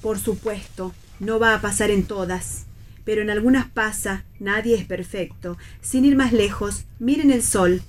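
A woman's voice: medium pitch, measured pace, slight background noise, natural voice. An Argentine woman playing an anxious, conciliatory middle-aged mother who rambles politely in a theatrical radio play reading. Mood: rambling, earnest.

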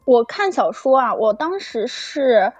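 High-voiced, effusive woman, lo-fi recording. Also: big pitch swings, unhurried, a little mellow, slightly rough, no room sound. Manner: effusive, exclaiming, fangirlish. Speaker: audio drama producer and guest. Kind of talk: animated casual chat. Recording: dull lo-fi audio with music behind.

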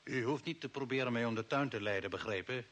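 A man's voice: medium pitch, quick pace, fairly bright tone, close to an everyday voice. An actor playing a cold, authoritarian old man from Earth who serves the Martians in an older Dutch radio play, commanding and scornful. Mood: cold, firm. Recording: hissy, noisy old radio recording.

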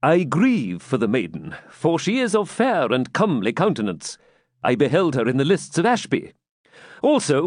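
A pompous, covetous churchman from a storybook, performed by a man holds forth in preachy archaic language. The pitch is somewhat raised and very animated, with a smug, self-important air. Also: no echo, studio quality. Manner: pompous, preachy, quoting scripture in Latin. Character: vain, pompous, covetous medieval churchman, male.

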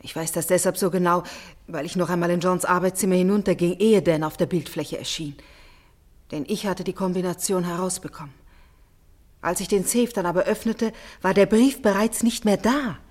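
An actress playing a troubled, suspicious young lady of the house in a German radio play, with a husky, breathy voice and hesitant, restrained delivery. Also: on the low side, fairly even intonation, moderate pace, a little mellow, lightly acted. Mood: uneasy, probing.

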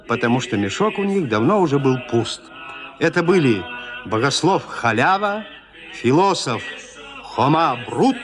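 A male narrator reads classic literature in a mid-pitched voice. His intonation moves up and down in a lively way, with deliberate pauses that set off each phrase. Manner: expressive storytelling with deliberate pauses.

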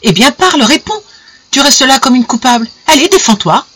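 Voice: medium pitch, big pitch swings, moderate pace, a bit bright, breathy edge, exaggerated voice. A narrator voicing a jealous, enraged husband in a story, hectoring and stammering as he accuses and repeats himself. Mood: furious, goading. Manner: hectoring, stammering, insistent repetition.

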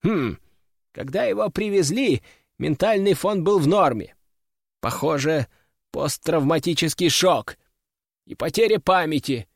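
A man's voice: a little high, animated, measured pace, vocal fry, pauses often, no room sound, studio quality, exaggerated voice. A man reading the part of a businesslike healer who speaks in a matter-of-fact, clinical way. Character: brisk, businesslike male healer.

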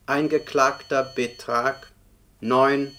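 An actor voicing an impersonal court computer, reading formal official notices in a deadpan, bureaucratic manner in a radio play. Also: male voice, medium pitch, slow. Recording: clearly noisy radio play recording.

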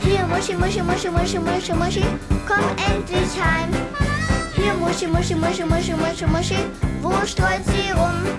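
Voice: extremely high pitch, flat delivery, normal speed, over loud music. A performer playing a chirpy young girl in a radio drama, delivering a children's song in repetitive sing-song.

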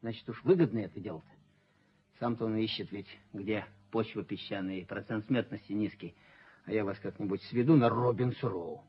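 An actor playing a servile, bitter sacked rent collector in a radio play, pleading and justifying himself emphatically in a husky, raspy voice. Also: male voice, lowish voice, steady tone, moderate pace, close to an everyday voice. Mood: sly, bitter. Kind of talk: theatrical radio play acting. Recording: muffled 1970s radio play recording.

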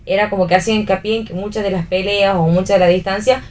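A woman's voice: mid-range voice, steady tone, fast, a little mellow, recorded from a distance. Woman speaking away from the mic. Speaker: panelist on a radio theatre discussion show. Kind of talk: casual literary discussion. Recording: off-mic, faint ambient noise.

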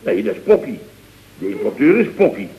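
An actor playing an excitable, self-important boss of a small building firm in a vintage radio comedy, blustery and exclamatory. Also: male voice, a little high, fairly quick, gravelly. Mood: proud, eager. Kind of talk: 1970s radio comedy acting. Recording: muffled, noisy, echoey vintage radio recording.

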